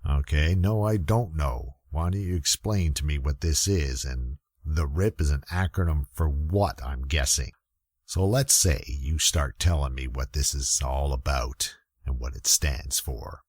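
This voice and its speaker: A gruff, weary police lieutenant played by a man tells a hardboiled radio mystery. His voice is extremely deep and rough with husk, recorded close and dry. He grumbles along in a wry, folksy way. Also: measured pace, a little mellow, dry recording, exaggerated voice. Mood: grumbling, probing. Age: middle-aged. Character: gruff, weary old-school male police lieutenant narrating hardboiled detective story. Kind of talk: old time radio murder mystery narration.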